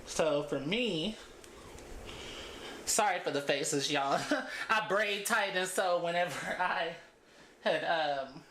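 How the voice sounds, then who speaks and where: A woman in her thirties holds a casual storytime chat with her viewers as she braids her hair at home. Her voice sits a little low. She talks in loose, chatty runs and laughs often between her thoughts. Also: slow, long pauses, a bit bright, echoey room, slight background noise. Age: 30s. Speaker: beauty vlogger.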